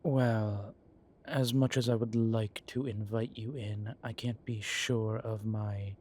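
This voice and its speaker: Genteel, secretive age-old male vampire, performed by a man for audio roleplay; deepish voice, very slow, deliberate phrasing. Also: fairly bright tone. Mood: grave, polite. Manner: measured, formal, genteel phrasing with deliberate pauses. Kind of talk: scripted audio roleplay addressed to the listener.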